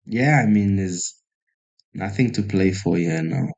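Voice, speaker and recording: A man from South Africa talks through his chess moves at a slow, unhurried pace, his voice sitting fairly low. He thinks aloud, makes fun of his own play and stops to greet people in the chat. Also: vocal fry, a little mellow. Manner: thinks aloud slowly, chatty with viewers, self-deprecating. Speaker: chess streamer. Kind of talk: casual live-stream commentary.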